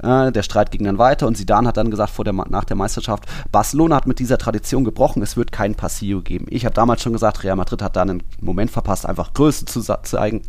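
A man's voice: fairly low pitch, a little mellow, no echo. A man co-hosting a football podcast in casual, colloquial, opinionated talk. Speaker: podcast co-host.

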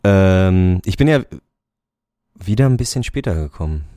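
Low-voiced man rambling casually. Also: animated, moderate pace, warm tone, no room sound. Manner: rambling, many fillers and restarts, laughs often. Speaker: podcast co-host. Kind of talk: casual chat about football.

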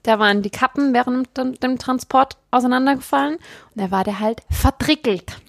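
A woman tells a casual anecdote and acts out what she said. Her voice sits a bit high. The recording is dry and close. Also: moderate pace, creaky, no room sound. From Palatinate, Germany. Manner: lively storyteller, quotes herself. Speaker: guest friend.

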